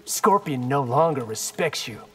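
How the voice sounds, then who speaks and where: A man's voice: medium pitch, lively intonation, a little slow, close to an everyday voice. A man playing a bold young ninja fighter in a video game, with direct, challenging delivery. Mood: taunting. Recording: music behind.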